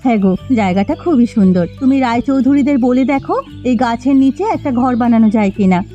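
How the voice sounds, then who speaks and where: A woman's voice: a little high, animated, fairly quick, warm tone, faint music behind, close to an everyday voice. A woman voicing a patient, practical village mother in a story cartoon, on a dull lo-fi recording. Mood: hopeful. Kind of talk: Bengali moral story cartoon dubbing.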